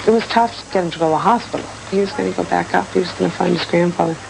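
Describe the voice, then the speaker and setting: A woman recalls events in a casual interview. Her voice is on the low side and creaky. There is clear noise behind her on old documentary tape. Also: rapid-fire. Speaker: relative recounting a rescue.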